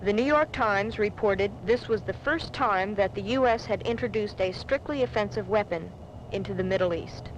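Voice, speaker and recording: A woman's voice: medium pitch, moderate pace, dull audio, noisy background. A woman reading scripted political narration on a 1970s documentary film soundtrack.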